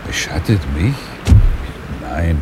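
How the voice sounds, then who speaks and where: A man's voice: low-pitched, very animated, slow, noisy background, exaggerated voice. A man playing a tormented, guarded astronaut trapped in his own time, in a German radio play. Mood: guarded, sceptical.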